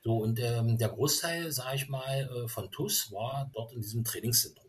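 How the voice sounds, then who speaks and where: A middle-aged man from Berlin recalls his youth in a relaxed interview. He talks at an easy pace and fills his pauses with lots of ums and uhs. His voice sits in a plain middle pitch. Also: a little hiss or hum. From Berlin, Germany. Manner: hesitant, many fillers, rambling storytelling. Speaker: interview guest, former youth footballer. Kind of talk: casual conversational interview.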